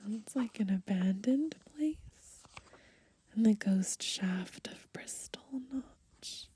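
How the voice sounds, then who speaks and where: A young woman whispering in a hushed, breathy voice for ASMR, unhurriedly reading from a book and adding gently musing asides. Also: medium pitch, animated, slow pace. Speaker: ASMR host. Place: outdoors on grass.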